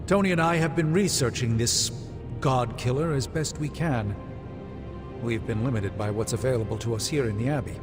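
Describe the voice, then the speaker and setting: A man playing an anxious occult researcher in a video game cutscene, speaking formally and gravely with hesitant pauses. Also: medium pitch, natural voice. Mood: hesitant, troubled. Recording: long reverb, music underneath.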